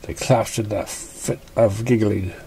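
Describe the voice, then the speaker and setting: A storyteller narrating fan fiction expressively, with a somewhat halting, stumbling delivery. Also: mid-range voice, fairly even intonation, creaky, dark tone, breathy edge.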